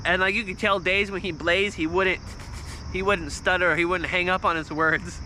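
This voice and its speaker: A man in his thirties with a squeaky, nasal voice, telling a story in a loose, swearing livestream ramble. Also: steady tone, normal speed, pushed and bright, slight background noise, poor audio. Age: 30s. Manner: swears freely, restarts often, volume spikes. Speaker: vlogger on a livestream.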